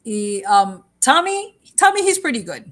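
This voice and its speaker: A woman's voice: a little high, big pitch swings, normal speed, a bit bright. Rambling woman chatting on a livestream. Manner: rambling, repeats herself, switches between English and Spanish; laughs often. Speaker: livestream host. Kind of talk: casual chat.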